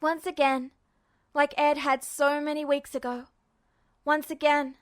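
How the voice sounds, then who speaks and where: A woman reading fan fiction aloud as scripted narration, with dramatic, expressive storytelling. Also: high-pitched, a little slow. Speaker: amateur audiobook narrator.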